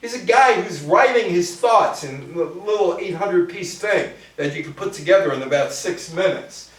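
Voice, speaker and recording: A man's voice: sits high, expressive, moderate pace. An older American man giving an informal public talk on history, addressing listeners directly with short asides. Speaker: lecturer. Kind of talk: public talk on music history, reading quotes and commenting. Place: echoey room with an audience. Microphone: distant microphone. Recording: very echoey room, distant microphone.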